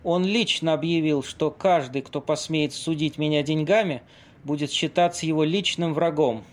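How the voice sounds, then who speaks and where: A man playing a worldly Casanova, theatrical and fairly high-voiced. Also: natural voice. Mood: worldly, dramatic storytelling. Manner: dramatic storytelling with weighty pauses. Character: summoned Casanova, worldly theatrical Venetian seducer. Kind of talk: amateur audio play acting.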